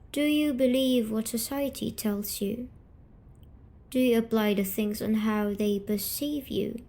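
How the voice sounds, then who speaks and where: Woman, slow and mellow, soft coaxing ASMR storyteller playing a gentle, eerie night demon, often dropping to a whisper. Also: medium pitch. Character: gentle, patient, eerie female sleep paralysis demon comforting a dreamer. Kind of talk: scripted ASMR roleplay storytelling.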